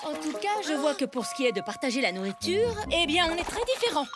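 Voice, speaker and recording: A woman voicing a protective, stern but loving mother in a children's cartoon, firm and expressive. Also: high-pitched, quick pace. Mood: reluctant. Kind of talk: animated children's cartoon dubbing. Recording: music behind the voice.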